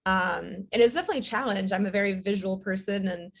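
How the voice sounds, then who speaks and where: A woman's voice: mid-range voice, fast, gravelly. A young adult woman, a writer, talking casually as a podcast guest, chatty with lots of fillers and laughs. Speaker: audio drama writer, guest.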